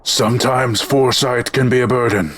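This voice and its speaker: A man playing a learned, self-assured robot scholar with a measured, pedantic delivery in an audio drama. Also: medium pitch, fairly even intonation, fairly bright tone, husky. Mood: calm, lecturing.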